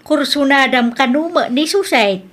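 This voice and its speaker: A fretting older mother, acted by a woman, presses her son with pointed questions in an Ilocano audio drama. Her voice sits slightly high and her accent is Ilocano. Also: expressive, measured pace, fairly bright tone. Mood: concerned. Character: worried older mother of a widower.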